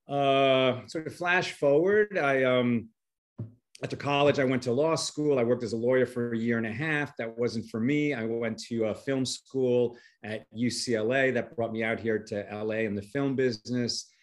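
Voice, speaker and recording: Man, middle-aged, from Chile, reflective, hesitant pauses, medium pitch. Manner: thoughtful, many "uh" pauses, soft trailing endings. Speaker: podcast guest, filmmaker. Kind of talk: reflective storytelling.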